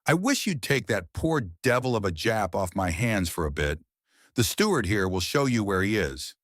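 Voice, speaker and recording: A man's voice: lowish voice, very animated, moderate pace, a bit bright, no room sound, studio quality. A man voicing a fat, exhausted ship's doctor in a pulp story reading, weary and apologetic.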